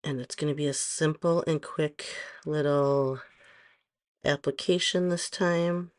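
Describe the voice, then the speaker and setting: Slow-talking hoarse woman vlogger. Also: low-pitched, fairly even intonation. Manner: slow, rambling, with frequent ums. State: hoarse from allergies. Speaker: nail stylist vlogger. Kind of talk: casual tutorial chat.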